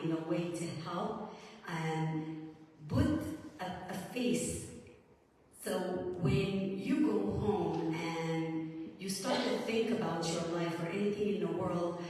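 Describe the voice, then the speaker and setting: A woman from Sudan gives an earnest, halting speech to a live audience as an author and speaker, pausing often with fillers. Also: fairly low pitch, a little mellow, slight background noise. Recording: echoey hall from far off.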